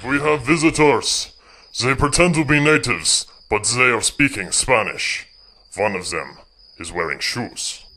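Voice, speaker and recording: An actor playing a terse, stoic scout with keen hearing in an audio drama, speaking in clipped phrases. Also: male voice, medium pitch, expressive, bright, forceful tone, slight background noise. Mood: grave, terse. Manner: clipped, deliberate, few words.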